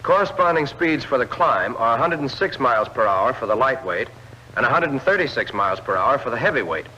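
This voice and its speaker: A man reads scripted instructional narration for a 1940s military training film, clearly addressing the trainee directly. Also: medium pitch, sing-song, a little fast, bright, forceful tone, a little hiss or hum. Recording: old film soundtrack, dull lo-fi band.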